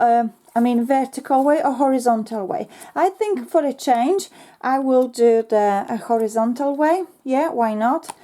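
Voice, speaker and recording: A woman with a talks her way slowly through a crafting tutorial, pausing often with ums. Her voice sits a little high but sounds mellow and soft, slightly worn as if she is losing it. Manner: chatty, talks to herself while working, frequent ums. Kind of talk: casual step-by-step craft tutorial.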